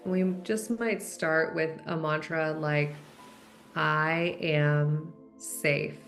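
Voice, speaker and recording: Slow, low-voiced woman guiding meditation. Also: fairly even intonation. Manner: gentle, unhurried, with long pauses. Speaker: guided meditation leader. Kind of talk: guided meditation, soft instructional talk. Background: background music.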